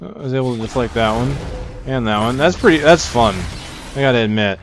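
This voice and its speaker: A man's voice: medium pitch, brisk. Man casually commentating a video game, music behind. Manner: thinks aloud while playing. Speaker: gameplay video host.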